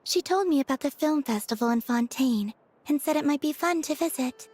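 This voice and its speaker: A woman voicing a serene, naive young maiden in a video game, gentle and guileless. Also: high-pitched, brisk, close to an everyday voice. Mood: calm.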